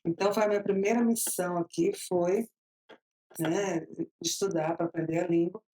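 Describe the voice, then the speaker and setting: A woman's voice: lowish voice, fairly even intonation, warm tone, low-quality recording. A woman in her fifties from Bahia, Brazil, with a Bahian accent, telling her story as an interview guest, chatty and laughing often. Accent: Bahian Brazilian Portuguese. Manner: chatty, laughs often, drawn-out slow phrases.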